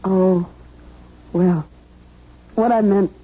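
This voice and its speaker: An actress playing an emotional young newlywed wife in a 1970s radio drama, on a muffled vintage broadcast. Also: female voice, medium pitch, steady tone, drawn-out words, slightly rough. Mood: gushing, happy. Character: distraught young newlywed wife, chatty then hysterical.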